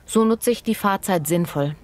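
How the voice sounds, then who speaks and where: A woman's voice: mid-range voice, moderate pace, a little mellow, natural voice. A woman playing a practical working passer-by, giving matter-of-fact answers in a scripted language-lesson dialogue. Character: practical working woman stopped for a street survey.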